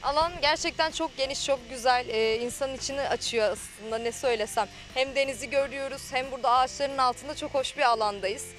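A woman's voice: sits high, brisk, few pauses, fairly bright tone. A young woman TV reporter interviewing outdoors by a beach, holding a handheld mic and speaking in fluent, polished presenter phrases. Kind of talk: on-camera interview. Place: outdoors by a beach under trees.

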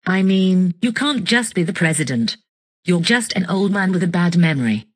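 A woman acts out a pushy, tiny would-be leader in a comedy skit. Her voice is husky and on the low side. The pitch moves a great deal through blunt lines. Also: animated, normal speed. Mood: insistent. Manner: blunt, insistent. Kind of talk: scripted comedy skit dialogue.

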